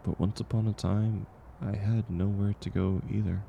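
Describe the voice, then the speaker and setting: A man acting a kind, gentle stranger in an intimate audio roleplay, speaking reassuringly and unhurriedly to the listener. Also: on the low side, level tone, creaky, muffled recording, slight background noise. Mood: gentle, sincere. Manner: soft-spoken, reassuring, unhurried. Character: kind, gentle man, once homeless, sharing dinner with a stranger.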